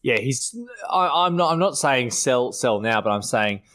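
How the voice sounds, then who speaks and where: A man's voice: medium pitch, animated, unhurried, fairly bright tone. A man giving casual opinions as a fantasy sports podcast panellist.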